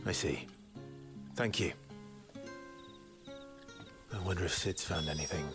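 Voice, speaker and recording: Low, brooding young warrior. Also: male voice, takes it slowly, music in the background. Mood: guarded. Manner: terse, serious. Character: terse, brooding young male warrior on a hunt. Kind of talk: video game cutscene acting.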